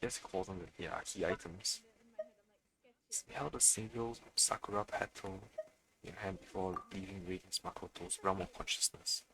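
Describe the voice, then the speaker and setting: A man's voice: sits low, fairly even intonation, moderate pace, a crowd in the background, low-quality recording. A man doing a let's play, reading game text aloud as he streams. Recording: game music behind the voice. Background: game music.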